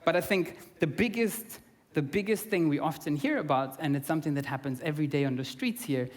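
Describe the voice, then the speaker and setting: A young man from Austria gives an earnest conference talk, his voice sitting slightly high for a man. He fills pauses with ums and restarts his phrases often. His voice carries a long reverb from a large conference hall. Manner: earnest, frequent fillers and restarts. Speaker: conference speaker.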